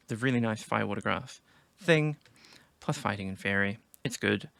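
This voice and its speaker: Gravelly man thinking aloud. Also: mid-range voice, pushed and bright, no echo. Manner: thinking aloud with many restarts and fillers. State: losing his voice, sore throat. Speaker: gaming video creator. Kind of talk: casual commentary on team drafting.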